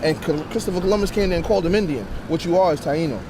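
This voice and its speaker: A man's voice: on the high side, racing, a crowd in the background. A man speaking as an activist in an impromptu street speech, emphatic and didactic, addressing people outdoors. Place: outdoors in a city square. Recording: noisy outdoor street recording with traffic.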